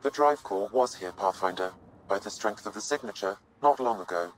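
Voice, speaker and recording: A man voicing a calm, formal artificial intelligence companion in a video game, measured and matter-of-fact. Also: on the low side, close to an everyday voice, low-quality recording. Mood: calm, clinical. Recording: game ambience and vehicle noise behind.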